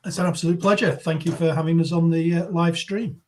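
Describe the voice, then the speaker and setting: A man's voice: on the high side, steady tone, fast, a little mellow, a little hiss or hum. A man talking as a guest consultant on a video call, thoughtful and repeating words as he works through his ideas. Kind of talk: conversational discussion.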